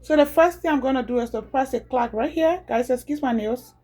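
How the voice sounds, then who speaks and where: Liberian woman, home video creator, somewhat high voice, casual and repetitive step-by-step delivery. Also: warm tone. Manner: chatty, repeats steps slowly.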